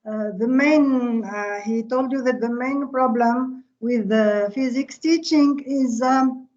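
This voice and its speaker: A woman from Tunisia, an Arabic speaker, giving a workshop lecture over a video call, halting and pausing often with fillers. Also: fairly high pitch. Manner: halting, with many filler pauses. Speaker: visiting lecturer. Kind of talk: online workshop presentation. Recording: muffled video-call audio.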